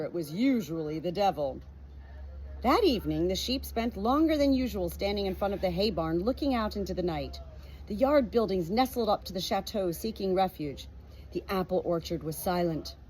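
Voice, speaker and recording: A woman reading an audiobook as an expressive storybook narrator. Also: lowish voice, a little hiss or hum, rough recording. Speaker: audiobook reader.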